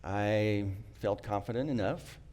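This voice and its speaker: A middle-aged man, not a native English speaker, telling a prepared personal story in an earnest, reflective way, with small restarts and frequent throat-clearing. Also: fairly low pitch, measured pace, a little mellow.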